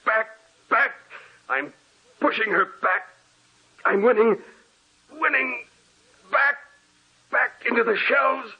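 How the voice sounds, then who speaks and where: An actor playing a haunted, sleepless man losing his mind, speaking with feverish, halting repetition in old-time radio horror style. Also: very high-pitched, pushed and bright, slightly rough, heavily acted. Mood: frantic terror. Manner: intense, halting, repeats words feverishly. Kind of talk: 1940s radio horror acting. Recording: muffled old radio recording.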